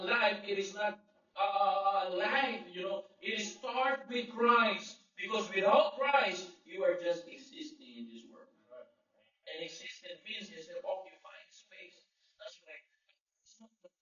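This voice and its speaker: A man's voice: high-pitched, sharp tone. A male pastor from the Philippines, a native Filipino speaker, preaching a sermon with call-and-response amens and emphatic Bible quotes. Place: large echoey church hall. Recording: echoey, distant, dull lo-fi sound.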